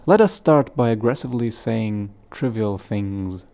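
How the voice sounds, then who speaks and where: A man hosting a software tutorial, giving plain step-by-step instructions. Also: fairly low pitch, animated, a little slow, creaky. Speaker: tutorial host.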